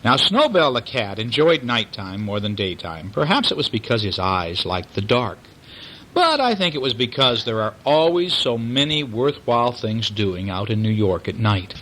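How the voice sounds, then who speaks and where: Man, bedtime storyteller, bright tone, expressive, explanatory, chatty with a child, faint background noise. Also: medium pitch, normal speed, rough recording. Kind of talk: bedtime story reading aloud, with chatty asides.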